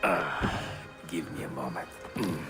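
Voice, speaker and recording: An actor playing a weary, wise old assassin mentor in a video game, grave and reflective. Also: male voice, a bass voice, a little slow, music in the background. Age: older. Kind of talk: dramatic video game cutscene acting.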